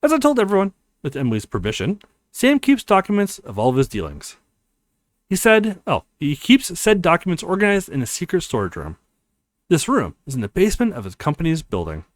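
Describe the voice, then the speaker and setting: A man's voice: fairly high pitch, huge pitch swings, brisk, no room sound. A man voicing an earnest young college guy who explains his scheme in a visual novel read-aloud. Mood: earnest, planning.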